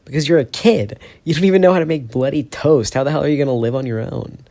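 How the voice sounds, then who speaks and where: A man voicing an angry, hurt teenage boy who argues loudly and pleads with a gravelly voice. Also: mid-range voice, normal speed. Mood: indignant. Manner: loud, pleading, heated.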